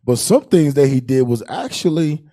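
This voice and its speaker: A man's voice: medium pitch, expressive, moderate pace, mellow. An American man in his thirties giving emphatic, repetitive religious commentary in colloquial speech on a panel, into a handheld mic. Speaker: panel host, religious teacher. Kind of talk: impassioned preaching and panel commentary. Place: indoor curtained studio set, panel table. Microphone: handheld microphone.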